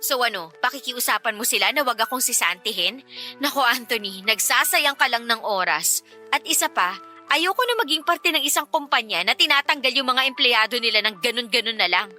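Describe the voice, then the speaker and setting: A woman's voice: on the high side, expressive, brisk, pushed and bright, faint music behind. A woman playing a self-sacrificing young working woman in a Tagalog radio drama, earnest, emotional and firm. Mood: bitter, hurt.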